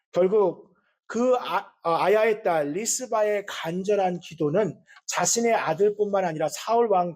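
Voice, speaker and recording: 높은 목소리의 남성 목사 설교. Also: male voice, high voice, darkish tone. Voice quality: voice not strong, easily strained. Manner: earnest, with measured pauses and frequent fillers. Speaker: pastor. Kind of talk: Sunday sermon.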